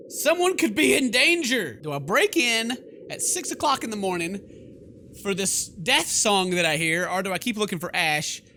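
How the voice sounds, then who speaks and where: A man's voice: high-pitched, pushed and bright, slight background noise. A man hosting a horror game playthrough, reading text aloud and reacting with theatrical, sarcastic, exclamatory commentary. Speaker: gaming video host.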